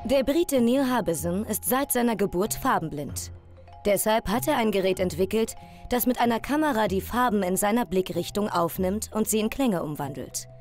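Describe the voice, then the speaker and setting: A woman's voice: medium pitch, animated, moderate pace, a little mellow, faint music behind. A woman reading a scripted documentary voice-over in pronunciation. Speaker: documentary narrator.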